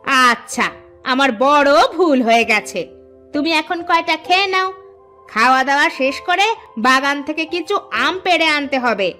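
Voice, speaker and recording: A woman's voice: high voice, unhurried, bright, forceful tone, faint music behind. A woman voicing a bossy, spiteful village stepmother in a cartoon story, scolding as she talks.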